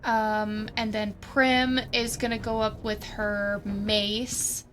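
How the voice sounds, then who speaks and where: A woman's voice: medium pitch, little pitch movement, takes it slowly, fairly bright tone. A woman playing a tabletop roleplaying game, thinking aloud and casually narrating what her characters do.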